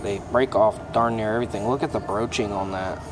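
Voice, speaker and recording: A young mechanic casually showing his toolbox, talking in a relaxed, plainspoken way with plenty of ums and uhs. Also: fairly low pitch, a little fast, music in the background. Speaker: mechanic giving a toolbox tour. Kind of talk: casual show-and-tell vlog. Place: auto repair shop, indoors. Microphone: phone held in hand, filming first-person. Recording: shop noise with air conditioning hum.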